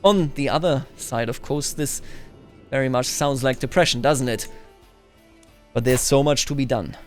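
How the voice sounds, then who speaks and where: A man's voice: medium pitch, moderate pace, soft background music. A man hosting a game playthrough, musing aloud and reading in-game text with playful theatrical flair. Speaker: host of a let's play series.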